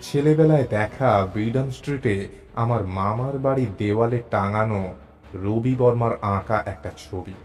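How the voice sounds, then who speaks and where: Slow, measured male storyteller over music. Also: fairly low pitch, a little mellow. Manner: measured, deliberate, suspenseful delivery with pauses. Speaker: narrator of a suspense audio story. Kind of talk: dramatic first-person story narration. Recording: narration over clear background music.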